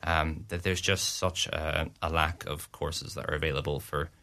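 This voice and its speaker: Very deep, gravelly young Irish man. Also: little pitch movement, bright, forceful tone. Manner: halting, many restarts and fillers. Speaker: student union representative. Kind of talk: radio interview answers.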